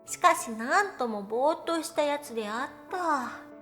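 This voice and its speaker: An ancient cat goddess, acted out by a woman, with a high, girlish pitch and a haughty, old-fashioned way of speaking. She delivers a dramatic storytelling monologue, grumbling yet fond, with music playing softly behind her. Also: lively intonation, moderate pace. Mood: musing, nostalgic. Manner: haughty, archaic old-fashioned lordly speech. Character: proud thousand-year-old female cat god, grumbling but fond. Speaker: virtual streamer in character.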